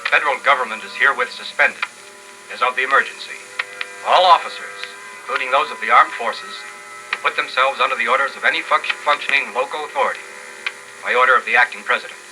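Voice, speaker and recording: Man acting a rapid-fire urgent official bulletin voice. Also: medium pitch, lightly acted, low-quality recording. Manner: brisk, formal, clipped. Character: urgent official voice reading an emergency newspaper dispatch. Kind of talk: 1950s radio drama news bulletin. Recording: old radio broadcast with music bed. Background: loud dramatic music.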